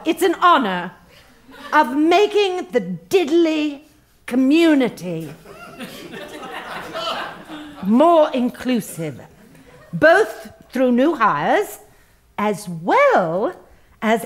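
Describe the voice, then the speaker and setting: High-pitched, sanctimonious female HR manager. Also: full character voice. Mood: gushing, self-congratulatory. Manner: over-solicitous, gravely sanctimonious, deliberate. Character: earnest, self-important female HR manager at tech start-up. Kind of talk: satirical comedy radio play acting.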